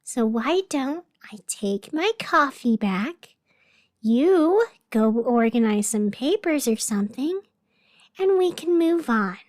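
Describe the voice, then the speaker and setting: An actress playing an anxious radio host in a scripted fictional broadcast, deliberate and emphatic with strained cheerfulness. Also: female voice, high voice, animated, darkish tone, no room sound, studio quality. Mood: nervous denial. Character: anxious woman radio host insisting everything is fine.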